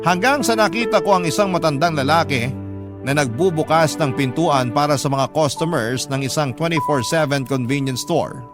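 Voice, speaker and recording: A man's voice: on the high side, normal speed. A man reading a scripted first-person story as the storyteller of a radio drama. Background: prominent music.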